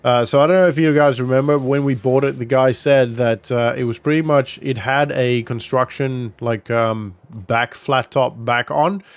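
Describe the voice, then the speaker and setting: A man's voice: mid-range voice, steady tone, moderate pace, few pauses, clean tone, no room sound. A man narrating a roleplay gaming vlog in a casual, rambling way, as if thinking aloud. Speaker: gaming vlogger.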